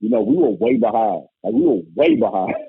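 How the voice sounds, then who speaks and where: A loud, emphatic man from Indiana talks over a thin, phone-like line, his voice gravelly and husky with a dry, close sound. His pitch leaps around as he tells his story. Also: wildly swooping pitch, normal speed, no room sound. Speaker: guest, former college basketball coach turned musician. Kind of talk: casual conversation.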